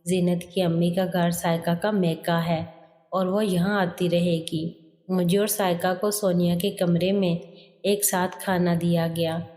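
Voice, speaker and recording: A woman's voice: on the low side, flat delivery, measured pace, mellow. A woman reading a scripted first-person story as a narrator. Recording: long hall reverb.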